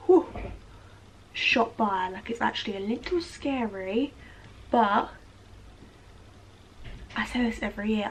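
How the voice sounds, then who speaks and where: A young woman with a British accent chats to camera from home, her voice slightly high and a bit nasal from a blocked nose. She rambles easily with plenty of fillers while grooming her eyebrows. A faint hiss of room noise sits under the voice. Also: gravelly, low-quality recording. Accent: British English. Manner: chatty, rambling, filler-heavy. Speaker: vlogger. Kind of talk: casual chat to camera. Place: at home, indoors.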